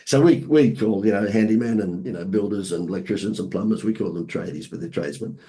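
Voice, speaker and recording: An Australian man, hoarse from laryngitis, telling lively marketing anecdotes as a podcast guest with playful asides. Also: lowish voice, a little fast. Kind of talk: casual storytelling interview.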